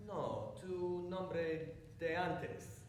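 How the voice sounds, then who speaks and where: A woman's voice: on the low side, extremely slow, darkish tone. Woman acting blunt suspicious outsider, very echoey stage. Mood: insistent. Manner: probing, switches between English and Spanish. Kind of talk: live stage play acting. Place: indoor theatre stage, recorded at a distance. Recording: echoey theatre stage from far off, faint noise.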